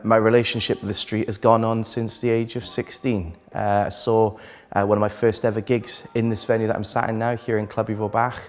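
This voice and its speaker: A man's voice: fairly low pitch, flat delivery, brisk. Man reminiscing in an echoing venue. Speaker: interviewee. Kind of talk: interview answer. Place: inside a music venue.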